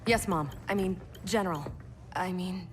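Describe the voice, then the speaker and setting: Woman playing low-voiced, wry American soldier. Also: moderate pace, slight background noise, close to an everyday voice. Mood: awkward. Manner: dry, blunt, casual. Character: tough, wry young woman military commander.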